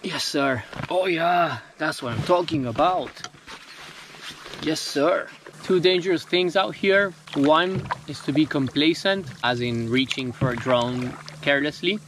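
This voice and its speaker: A man's voice: a little high, measured pace, slight background noise. A man in his thirties, chatting casually to the camera as he paddles solo down a river outdoors, recorded on an action camera mounted on his canoe. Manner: relaxed, chatty, talks to the camera while paddling. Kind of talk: casual outdoor vlog commentary. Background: background music.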